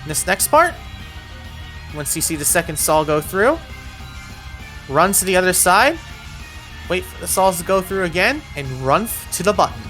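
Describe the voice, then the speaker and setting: A woman narrates a video game guide with a lively, swinging pitch. She talks the player through each move in clear instructional steps, adding cautions as she goes. Music plays behind her voice. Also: moderate pace, pauses often. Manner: addresses the player with step-by-step cautions. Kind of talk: voiced walkthrough commentary, instructional.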